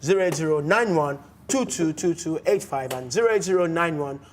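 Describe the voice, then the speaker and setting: A man with a Nigerian accent hosting a casual sports talk show in a studio, animated and often restarting his words. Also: fairly high pitch, a little fast, a little hiss or hum. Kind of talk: casual studio chat with phone-in.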